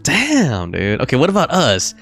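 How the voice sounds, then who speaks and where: A woman's voice: rumbling low, huge pitch swings, normal speed, vocal fry, breathy edge. A woman giving casual, slangy, reactive commentary on a live game playthrough. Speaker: game streamer. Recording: faint game music behind.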